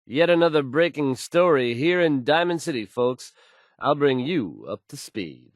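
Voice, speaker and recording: A man's voice: medium pitch, animated, a little slow, dry recording, lightly acted. An actor playing a confident, folksy male radio DJ in a video game, delivering news in suave showman's patter. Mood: confident, slick. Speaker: radio DJ character.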